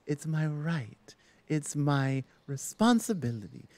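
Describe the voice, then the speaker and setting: A man voicing a bossy, slow-spoken orc shaman woman. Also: fairly high pitch, sing-song, darkish tone, no room sound. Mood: eager, indignant. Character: female orc shaman, curious, bossy, jealous sister. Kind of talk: ASMR roleplay audio drama.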